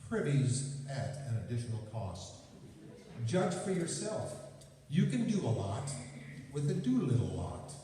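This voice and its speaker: A man's voice: medium pitch, sing-song, measured pace. A man reading scripted old-time sponsor announcements as the announcer of a radio play. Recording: echoey hall from far off, faint noise.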